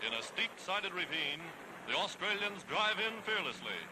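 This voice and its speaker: A man's voice: high voice, sing-song, moderate pace, heavy background noise. A man with an American accent narrating a 1940s newsreel in a formal, declamatory, emphatic manner on a vintage soundtrack.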